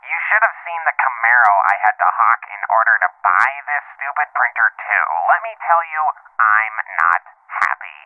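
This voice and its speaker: An actor playing a chummy, clueless, easily exasperated pest of a caller, heard through a telephone filter. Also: male voice, medium pitch, very animated, normal speed. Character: pestering, clueless man calling an emergency hotline. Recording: narrow tinny phone line.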